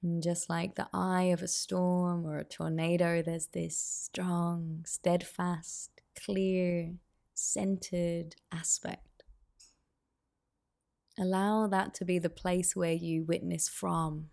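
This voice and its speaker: A woman guiding a meditation as a teacher, giving soothing, unhurried instructions with pauses. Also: fairly low pitch, level tone, gravelly, darkish tone. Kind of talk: guided meditation.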